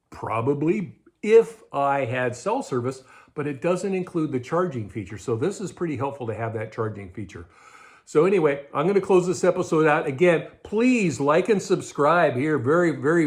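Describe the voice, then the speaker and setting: A man's voice: fairly high pitch, animated, normal speed. A middle-aged man with an American accent explains things conversationally, like a host reviewing gear informally to camera. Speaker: host of a budget prepping show. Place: indoors in a garage or storage room with shelves.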